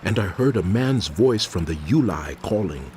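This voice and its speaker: A man's voice: lowish voice, expressive, normal speed, darkish tone, a little hiss or hum, natural voice. A man playing a troubled prophet, recounting visions in solemn, dramatic scripture narration. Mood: awed, fearful.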